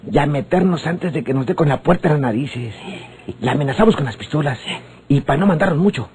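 An actor playing a bossy, scheming small-time Mexican crook in a radio serial, with a raspy voice. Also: male voice, a little high, animated, fast, a little mellow, a little hiss or hum, lightly acted. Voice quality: husky, raspy. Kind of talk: old radionovela acting.

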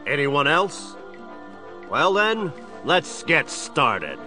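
A man's voice: a little high, big pitch swings, extremely slow, music in the background, full character voice. An actor playing a menacing, theatrical crime boss with a split personality in an audio drama, gloating as he talks. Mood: smug, commanding. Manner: theatrical, gloating, speaks of himself as "we".

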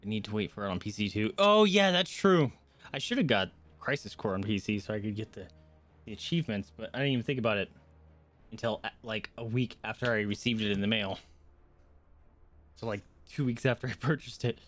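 A man's voice: lowish voice, fairly quick, fairly bright tone. A man livestreaming a game, chatting informally and wandering into asides for his viewers. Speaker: game streamer. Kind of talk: casual chat while livestreaming a game.

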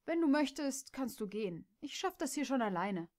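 A woman's voice: sits high, normal speed, pitched above the performer's own voice. A woman voicing an easygoing young stallion who talks in a soothing, teasing way. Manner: relaxed and soothing.

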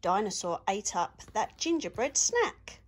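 A woman reading a children's picture book aloud with expressive, sing-song rhyming delivery. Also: medium pitch, a little slow, slightly rough.

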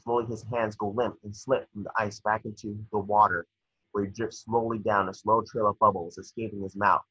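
A man's voice: on the low side, flat delivery, brisk, fairly bright tone, husky, no room sound. A young man reading screenplay stage directions as narrator over a video call. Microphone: computer mic on video call. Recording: dull, dry video-call audio.

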